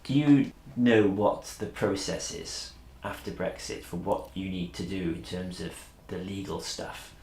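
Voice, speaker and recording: A man's voice: low-pitched, measured pace, a little mellow. Man interviewing in an echoey room. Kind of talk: interview questions. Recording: echoey room with faint noise.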